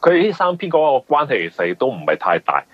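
A man with a Hong Kong Cantonese accent giving conversational interview answers, explaining things haltingly and often repeating words. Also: mid-range voice, big pitch swings. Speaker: guest, mystery novelist. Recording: muffled, band-limited radio interview audio.

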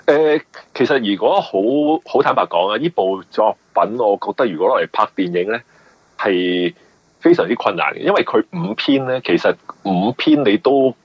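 Hong kong guy, husky low voice, explains stuff at length in an interview, dry lo-fi sound. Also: big pitch swings, moderate pace, dry recording. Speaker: mystery novelist, interview guest. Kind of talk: casual interview answers. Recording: dull lo-fi radio interview audio.

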